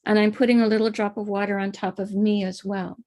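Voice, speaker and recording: A middle-aged woman with an American accent, a spiritual teacher calmly guiding listeners step by step through a live-streamed ritual. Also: medium pitch, fairly quick, no echo. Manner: calm, gentle step-by-step guidance.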